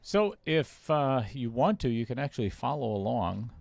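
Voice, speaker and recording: A man's voice: medium pitch, sing-song, moderate pace, vocal fry, a little mellow, no echo. A man doing casual play-by-play commentary on a pool match for a live stream. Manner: casual, chatty, reads viewer comments aloud.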